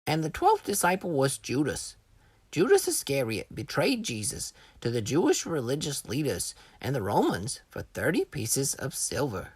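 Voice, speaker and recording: A woman's voice: extremely low pitch, wildly swooping pitch, normal speed, a little mellow, husky, dry recording. A woman reading scripted educational narration as the narrator of a children's Bible lesson.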